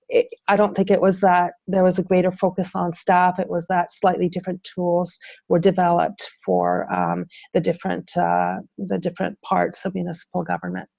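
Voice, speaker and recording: A woman's voice: fairly low pitch, gravelly, a little mellow, no room sound. A woman presenter answering audience questions in a webinar, speaking with many ums, uhs and restarts.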